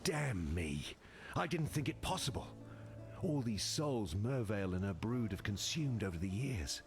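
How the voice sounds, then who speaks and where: An actor playing a gruff, plain-spoken, honorable man in a video game, emphatic and solemn in delivery. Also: lowish voice, big pitch swings, a little slow. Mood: astonished, solemn. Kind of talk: video game character dialogue. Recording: music behind the voice.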